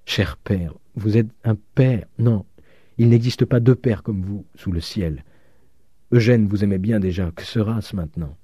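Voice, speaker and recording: Affectionate young woman, spoken softly and low by a male reader. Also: a little slow, breathy edge, no room sound, clean studio sound. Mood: tender. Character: young woman, adoring daughter.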